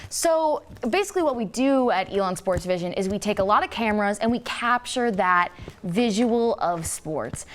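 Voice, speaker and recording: A young woman hosting a relaxed college talk show, her voice bouncing up and down with animated pitch. She teases her guest and riffs jokingly in a loose, playful way, sitting in the middle of the usual female range. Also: moderate pace, a little hiss or hum. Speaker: host of a student talk show. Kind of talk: casual chat.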